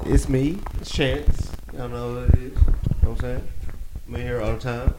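A young man co-hosts a podcast and talks in a blunt, slangy way. His voice sits at a mid pitch. Clicks and a clear layer of noise come through under him. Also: steady tone, moderate pace. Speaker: podcast co-host. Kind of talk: casual chat. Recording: noisy home recording with clicks.

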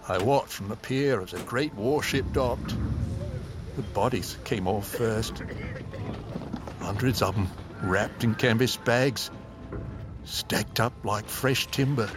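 An actor playing a very old English man with a London accent, telling his life story in a measured, theatrical, grave way for an audio drama. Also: on the low side, noisy background. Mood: grave, reminiscing. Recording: music behind the voice.

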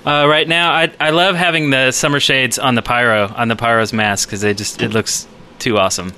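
A man's voice: medium pitch, fairly even intonation, a little fast, bright, forceful tone, slight background noise. A man co-hosting a podcast, chatting casually about games in a rambling, enthusiastic way. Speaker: podcast co-host. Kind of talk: casual gaming chat.

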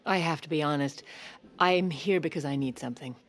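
A brisk, steely first lady. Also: sits low. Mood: urgent, probing. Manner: brisk, commanding, dry. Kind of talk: comedic audio drama acting.

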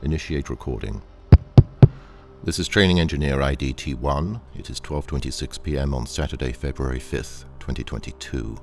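An actor playing a cold, menacing corporate training engineer in an audio drama, speaking in a clinical, curt, commanding manner. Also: male voice, a bass voice, moderate pace, gravelly, over music. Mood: clinical, controlled.

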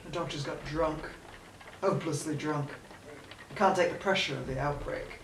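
An actress playing an anxious, disapproving working colleague in an audio play. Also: female voice, low voice, normal speed, lightly acted. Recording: echoey, distant, noisy.

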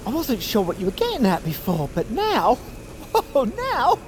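An eager but slow-witted henchman in an audio drama. The delivery is hesitant and unhurried with lively swings in pitch, over a faint ambient noise. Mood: dim, impressed.

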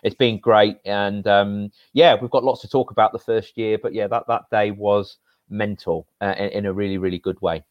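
A man in his forties from Northeast England, a sports journalist with a loud voice telling a story in casual podcast chat. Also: lowish voice, normal speed, no echo, lo-fi sound. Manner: animated storyteller, voice jumps when excited.

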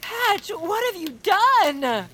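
An actress playing an anxious, polite, easily flustered young homebody in an audio drama, earnest and fretful. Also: female voice, extremely high pitch, sing-song, slow pace, fairly bright tone, a little hiss or hum, exaggerated voice. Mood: alarmed. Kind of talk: audio drama character acting.

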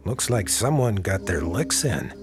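A man's voice: fairly low pitch, sing-song, unhurried. Middle-aged male detective: wry, probing, folksy, very varied intonation, radio drama with music underneath. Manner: folksy, probing, casually insinuating.

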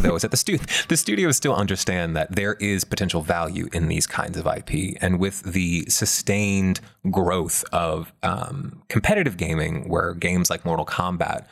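A man speaks in a low voice with a gravelly fry. He co-hosts a casual discussion in an analytical way. His phrasing is thoughtful, with repeated words as he builds a point. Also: a bit bright. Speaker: talk show co-host.

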